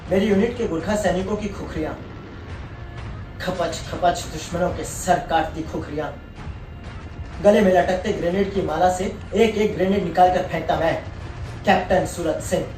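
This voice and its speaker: Merciless veteran colonel for a stage drama, male actor: high male register, solemn and deliberate, recorded off-mic with faint music. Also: lightly acted. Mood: proud, intense. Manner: grave, deliberate, drawn-out emphasis on key phrases. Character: proud, merciless war-veteran colonel presiding over a court martial. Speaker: stage actor performing a monologue. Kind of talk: dramatic theatrical Hindi monologue. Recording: echoey room, distant mic, faint music.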